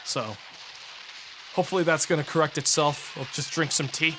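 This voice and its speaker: A young man with a hoarse, raspy voice, casually chatting to his viewers on a live stream and thanking subscribers in a rambling, offhand way. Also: a little high, moderate pace, music in the background, rough recording. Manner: rambling, offhand, trails into mumbles.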